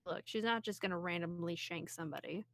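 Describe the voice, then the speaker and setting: A woman's voice: fairly low pitch, fast, fairly bright tone, no echo. A woman chatting casually as co-host of a group livestream, fielding questions from viewers. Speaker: co-host reading out chat questions.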